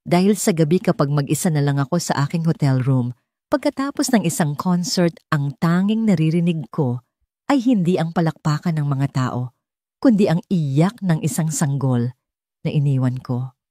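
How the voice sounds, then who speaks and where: A woman voicing a remorseful, pleading mother in an audiobook romance, calm but grieving, with halting phrases. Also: deep voice, no echo, clean studio sound. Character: remorseful pleading mother, a former concert pianist.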